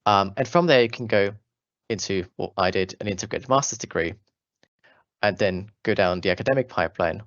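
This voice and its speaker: A man's voice: lowish voice, a little fast, fairly bright tone, dry recording, dull audio. A young man in his twenties with an Austrian German accent, giving an earnest, friendly talk to school students about his path as a doctoral student. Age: 20s. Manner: earnest, friendly, with fillers and restarts.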